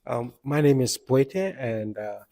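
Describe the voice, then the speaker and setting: A man's voice: mid-range voice, lively intonation, takes it slowly, dark tone, no echo, studio quality. A man from Myanmar, an agency director, speaking at a panel with many hesitant fillers. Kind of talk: speech at a panel event.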